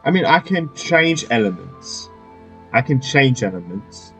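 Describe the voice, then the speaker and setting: A man giving casual, off-the-cuff let's play commentary, halting and repeating his phrases as he goes. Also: medium pitch, big pitch swings, a bit bright, soft background music, rough recording. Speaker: gaming video host.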